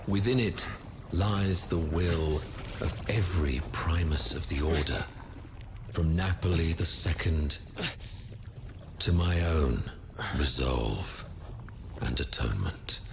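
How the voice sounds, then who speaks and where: A very low, grave voice for a solemn leader of an ancient order in a game cutscene. He speaks slowly and ceremonially, with noticeable watery ambient noise behind him. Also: animated, gravelly, exaggerated voice. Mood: solemn, resolute. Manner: grave, ceremonial, measured delivery. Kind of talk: dramatic video game cutscene acting.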